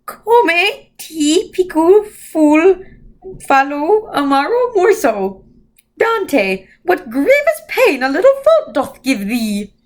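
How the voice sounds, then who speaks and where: A woman voicing a wise, ancient otherworldly lady from a storybook who slowly declaims proverbs. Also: high voice, big pitch swings, voice pushed up, heavily acted. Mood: sententious. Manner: slow, declaiming proverbs in foreign languages.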